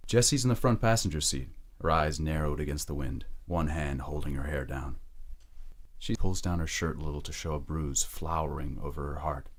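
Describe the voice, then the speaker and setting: An extremely deep male voice narrates an audio drama. He reads scripted scene descriptions in the present tense, as a storyteller. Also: normal speed.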